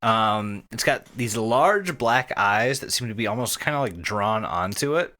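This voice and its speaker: A man's voice: lowish voice, lively intonation, unhurried, pushed and bright. A man co-hosting a casual comedy podcast, joking and swearing freely as he talks. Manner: profane, joking. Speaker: podcast co-host.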